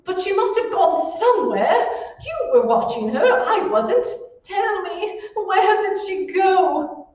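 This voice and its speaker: A woman playing an imperious, shrewish wife in an old-time radio horror play, with a shrill, scornful, commanding manner. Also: animated, takes it slowly, a little mellow, full character voice. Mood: indignant, alarmed. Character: imperious, shrewish wealthy wife, bossy, panicked by a ghost. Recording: muffled, very echoey, distant stage recording.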